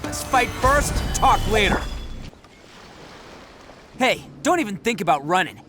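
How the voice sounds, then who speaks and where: A hot-headed young male oni in a game cutscene. He talks with cocky, slangy swagger in a lively, swooping, fairly high male voice. Music plays clearly behind him. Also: unhurried. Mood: cocky, brash. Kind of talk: video game cutscene acting.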